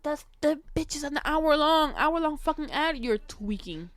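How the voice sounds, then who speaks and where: A woman's voice: high voice, lively intonation, measured pace, dry recording. A woman co-hosting a podcast with blunt, slangy, profane banter and casual ranting. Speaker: podcast co-host.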